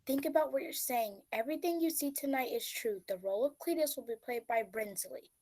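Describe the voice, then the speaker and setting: Woman as overworked stage manager, very high voice, deadpan needling, comic stage play. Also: fairly quick. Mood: questioning. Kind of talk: stage comedy acting.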